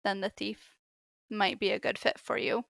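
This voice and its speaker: A woman's voice: mid-range voice, level tone, normal speed, gravelly, a bit bright, no echo, studio quality. A woman in her thirties or forties, a podcast co-host chatting casually and animatedly about books she has read. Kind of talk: casual book chat.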